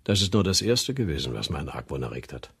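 Deep, husky pathologist. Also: male voice, sing-song, a bit bright, heavily acted. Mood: clinical, firm. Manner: measured, clinical, explanatory. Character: precise, sceptical male pathologist reporting autopsy findings. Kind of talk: German radio crime drama acting.

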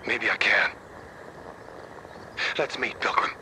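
A man's voice: fairly low pitch, takes it slowly, noisy background. An actor playing a secretive, bargaining male fixer in a video game, talking in a terse, knowing way. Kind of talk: video game dialogue acting.

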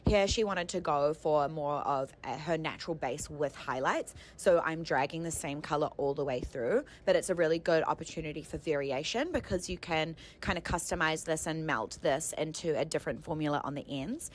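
A woman's voice: low voice, moderate pace, hardly any pauses. A young woman giving a casual voice-over tutorial as a hair colourist, chatty and explanatory in an informal way.

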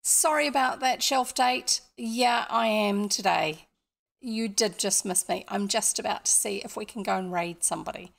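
Casting: older New woman, gravelly edge, to host a casual, rambling livestream chat. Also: medium pitch, moderate pace. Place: indoor room, home streaming setup.

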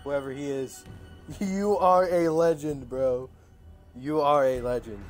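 A man's voice: a little high, slow pace, faint music behind. A young man making prank calls as a video host, chatting casually in a slangy, jokey way, his voice cracking at times. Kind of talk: casual prank-call chat.

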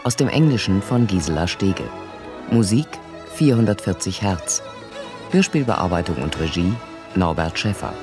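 A man's voice: low-pitched, lively intonation, moderate pace. A man announcing the titles and credits of a radio drama in a scripted style. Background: music.

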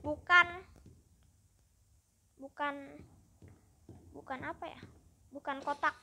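A gaming YouTuber giving upbeat, chatty let's-play commentary and constantly addressing the viewers. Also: female voice, high voice, steady tone, slow, sharp tone, slight background noise.

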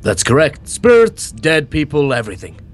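A man's voice: a little high, wildly swooping pitch, moderate pace, soft background music. A young man co-hosting an improvised Minecraft roleplay, teasing and mock-flustered. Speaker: roleplay co-host.

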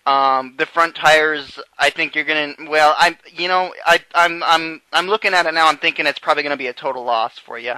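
A man's voice: on the high side, fairly quick, few pauses, sharp tone, clean tone, no room sound. A man on a phone call speaking as a manager, hesitant and repeating his words. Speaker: prank caller posing as a dealership manager. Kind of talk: phone conversation, improvised prank.

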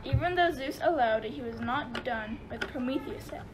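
A woman's voice: high-pitched, moderate pace, background noise. A woman narrating a scripted myth as storyteller in a radio play.

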